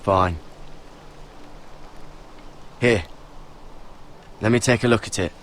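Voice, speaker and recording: An actor playing a steady young man-at-arms in a video game, curt, dry and wearily patient. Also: on the low side, takes it slowly, a little hiss or hum. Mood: terse, patient. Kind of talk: dramatic video game acting.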